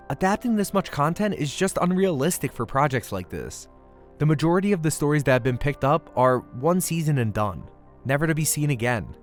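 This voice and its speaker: Expressive male video reviewer over music. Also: mid-range voice. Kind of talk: scripted review narration. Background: background music.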